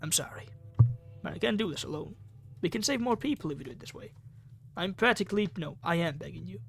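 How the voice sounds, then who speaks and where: A desperate student survivor in a horror game, acted by a man, pleads urgently. The voice sits slightly high, with music underneath. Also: lively intonation, quick pace, creaky, a bit bright, exaggerated voice. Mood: urgent, pleading.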